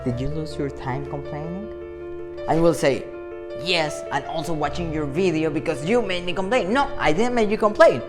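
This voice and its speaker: A man in his twenties with a Venezuelan accent, a motivational speaker talking to camera in a playful, animated way and acting out imagined dialogue. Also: a little high, normal speed, loud music behind the voice. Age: 20s. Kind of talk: talk to camera.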